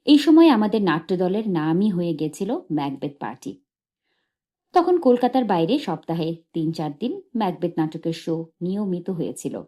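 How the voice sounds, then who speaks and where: A woman narrates a written memoir for an audiobook. Her voice sits a little low and has a dark, soft, very mellow tone. She reads in a measured, literary way, as a storyteller would. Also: big pitch swings.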